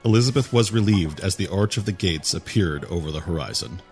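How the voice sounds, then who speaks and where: Deep-voiced male story narrator. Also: animated, a little fast, a little mellow, soft background music. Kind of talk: scripted fantasy narration.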